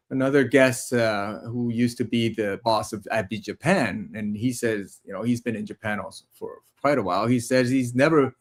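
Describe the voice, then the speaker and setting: A man hosting a podcast interview, enthusiastic and repeating words as he starts his sentences. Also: medium pitch. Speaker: podcast host. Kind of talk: casual interview talk.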